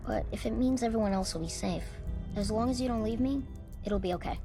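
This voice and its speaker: A woman's voice: on the high side, brisk, creaky. A woman playing an earnest, anxious young boy in a game, childlike and pleading. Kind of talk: game cutscene acting. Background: music.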